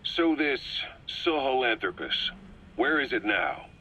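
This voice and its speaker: A man's voice: medium pitch, big pitch swings, slow, pushed and bright, highs cut off, exaggerated voice. An actor playing a terse, probing male soldier who questions a prisoner in a video game. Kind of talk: video game cutscene acting.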